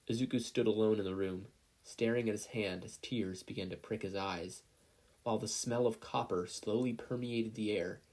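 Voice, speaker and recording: A man's voice: lowish voice, a little mellow. A man reading a scripted story aloud as a fiction narrator. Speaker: podfic narrator.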